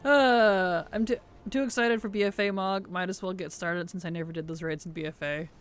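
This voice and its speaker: A chatty streamer talks through a gameplay session at a mid-range pitch, sucking on a cough drop. The throat sounds a little rough, and faint game music plays behind the voice. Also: fairly even intonation, fairly quick, fairly bright tone. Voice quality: sensitive, slightly rough throat. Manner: chatty, answers chat while playing. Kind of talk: casual gameplay chat.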